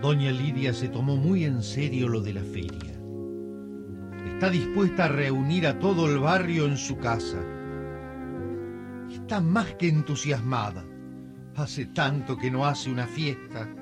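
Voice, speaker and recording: A man narrating a radio drama in a measured, knowing, confiding way. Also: mid-range voice, animated, loud music behind the voice, lightly acted.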